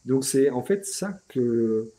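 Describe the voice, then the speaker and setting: A middle-aged French-speaking man, a guest in an interview, talking in a relaxed, chatty way with frequent restarts and small fillers. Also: mid-range voice, slow. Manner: hesitant, many restarts and "tu vois".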